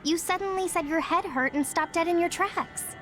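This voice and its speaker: A woman voicing a concerned, girlish young soldier in a video game, direct and expressive. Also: very high voice, moderate pace, faint music behind. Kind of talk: video game cutscene acting.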